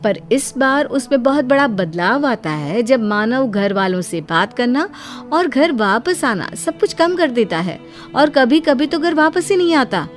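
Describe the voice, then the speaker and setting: Woman, scripted story narration, higher-pitched voice, music in the background. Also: big pitch swings, measured pace.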